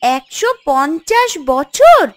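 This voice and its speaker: A woman's voice: very high voice, very animated, takes it slowly, full character voice. A woman voicing an honest, wide-eyed little village granddaughter in a fairy-tale cartoon, exclaiming and questioning earnestly. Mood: amazed.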